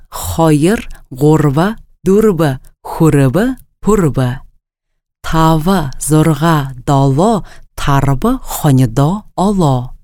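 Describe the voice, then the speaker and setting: A woman's voice: sits low, mellow, dry recording. A woman reading words and phrases for a language lesson, carefully enunciated for learners to repeat, on a close studio mic. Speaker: native-language speaker in a lesson.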